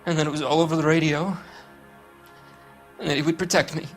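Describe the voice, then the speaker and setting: A man acts a shaken suspect in a crime game, guilt-ridden and halting. His voice is on the high side, with faint music underneath. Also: fairly even intonation, close to an everyday voice. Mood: shaken, confessing. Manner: halting, broken phrases. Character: shaken, guilt-ridden male murder suspect, a hard drinker. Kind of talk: dramatic video game acting.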